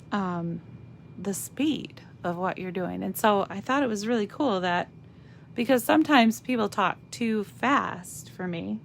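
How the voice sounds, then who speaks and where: A woman with a slightly raspy voice giving a chatty live craft demonstration, thinking aloud as she stamps and colors. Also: medium pitch, slight background noise. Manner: slow, chatty, thinks aloud while working.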